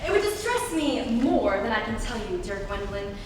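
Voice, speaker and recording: A young woman performs a self-assured young country girl of Victorian comedy, demure and formal in a fairly high voice. She is heard far from the microphone in a hall with long reverb. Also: big pitch swings, normal speed. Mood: politely combative. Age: young adult. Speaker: student reader. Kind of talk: dramatic reading of a stage comedy. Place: large reverberant room. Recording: echoey hall from far off, noisy.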